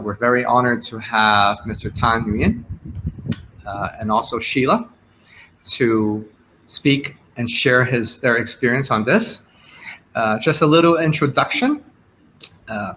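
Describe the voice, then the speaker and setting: A man from Hong Kong hosting a live online panel. His voice has a bright, forceful edge, and he speaks haltingly, often repeating himself before landing. A faint hiss of noise runs under his voice. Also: medium pitch, low-quality recording. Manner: hesitant, many fillers and repeated words. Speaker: host, moderator.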